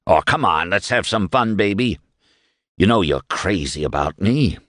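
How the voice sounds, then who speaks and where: A man's voice: deep voice, animated, normal speed, fairly bright tone, no echo, studio quality. A man voicing a crude, smug fascist militia boss in an audiobook, slangy, boastful and condescending. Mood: leering.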